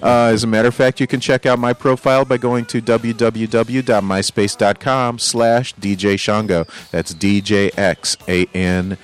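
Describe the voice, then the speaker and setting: A man's voice: lowish voice, level tone, normal speed, few pauses. A man hosting a radio music show, chatting casually with his co-host and laughing often.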